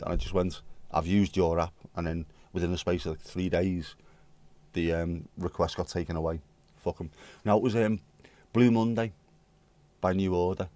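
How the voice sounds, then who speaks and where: A hoarse man with a British accent, chatting casually with viewers on his livestream and swearing bluntly. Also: low-pitched, a little mellow, dry recording. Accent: British English. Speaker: livestream host. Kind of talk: casual chat reading viewer comments.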